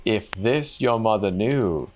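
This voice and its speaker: A man's voice: lowish voice, animated, slow, a little mellow, slight background noise. A man voicing the mournful head of a faithful talking horse, reciting solemn verse in a fairy tale.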